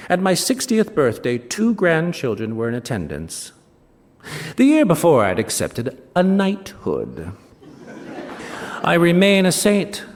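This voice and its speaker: An actor playing a smug, ageing novelist, reading a short story on stage with wry, self-satisfied, theatrical emphasis. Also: male voice, fairly high pitch, full character voice. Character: smug ageing novelist recounting his betrayal of a friend. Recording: reverberant hall, live stage reading.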